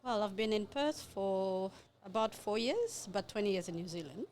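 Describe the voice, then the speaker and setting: A woman from Rwanda, a community leader, answering interview questions in a friendly, relaxed way, with frequent ums. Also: medium pitch, normal speed. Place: community centre building, on location.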